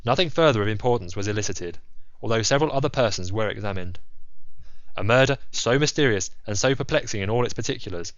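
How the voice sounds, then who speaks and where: Measured male narrator, dry dull recording. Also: on the low side, expressive, no echo. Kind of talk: scripted audiobook narration.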